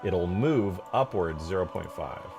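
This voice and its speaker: Low voiced guy on stream, jokey slang, talking thru what hes doing, music in the bg. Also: measured pace, creaky. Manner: slangy, jokey, thinking aloud, bursts of excitement. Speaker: live streamer and game modder. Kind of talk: casual livestream chat and screen tutorial.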